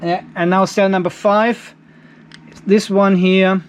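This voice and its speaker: A middle-aged man with a notably high voice talks through a practical job in a garage workshop. He is informal and chatty, thinking aloud as he demonstrates. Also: level tone, slow, a little hiss or hum. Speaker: host of a DIY off-grid battery channel. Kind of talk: casual hands-on demonstration talk.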